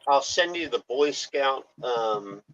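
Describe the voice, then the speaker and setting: A man's voice: mid-range voice, expressive, unhurried, fairly bright tone, low-quality recording. A man from the United States, talking as a guest in a casual chat, hesitant and explanatory.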